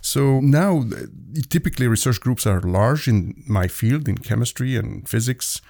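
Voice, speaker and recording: A man in his forties, originally from Egypt, a professor speaking as a guest in a conversational interview, explaining at length with frequent pauses. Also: mid-range voice, animated, gravelly, dark tone, no room sound, studio quality. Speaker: physics and chemistry professor, guest.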